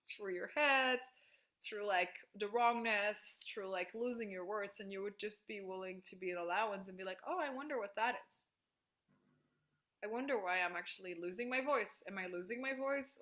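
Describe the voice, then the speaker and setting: Woman, facilitator, video call, bright tone, enthusiastic, casual. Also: medium pitch. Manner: enthusiastic, frequent "like", laughs easily. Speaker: workshop facilitator. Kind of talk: casual group call coaching.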